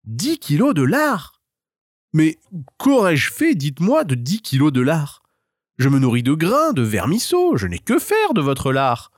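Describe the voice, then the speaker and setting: A man's voice: on the high side, huge pitch swings, a little slow, no room sound, studio quality, pitched above the performer's own voice, heavily acted. A man voicing a proud, clever mother hen, formal and theatrical, in a children's tale. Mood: indignant.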